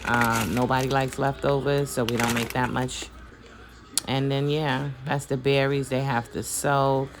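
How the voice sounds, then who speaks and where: A woman casually narrating her own shopping video in a relaxed, chatty way, with slang and fillers. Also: a bass voice, measured pace, background noise. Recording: voice-over under loud music.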